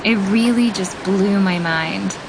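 A young woman telling a childhood memory in a relaxed, casual way with fillers. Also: medium pitch, takes it slowly, noisy background. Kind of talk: scripted ad testimonial.

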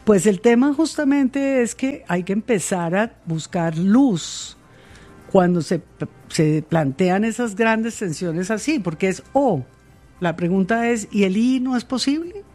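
A woman, answering interview questions in a measured way, with many pauses and fillers. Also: mid-range voice, lively intonation, warm tone, soft background music. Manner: measured, hesitant with fillers and repeated words. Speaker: interviewed guest.

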